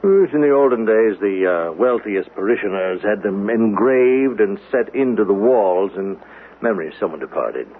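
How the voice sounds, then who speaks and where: An actor playing an elderly, gentle, wistful minister in a 1970s radio drama, speaking in a courteous, hesitant, halting way. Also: male voice, lowish voice, animated. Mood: helpful. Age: older. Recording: muffled lo-fi radio recording with faint noise.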